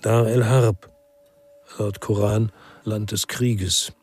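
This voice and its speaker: A man's voice: lowish voice, level tone, slow, a little mellow, breathy edge. An actor playing a weary, brooding ex-policeman who narrates a radio crime drama in the first person. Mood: brooding, sober.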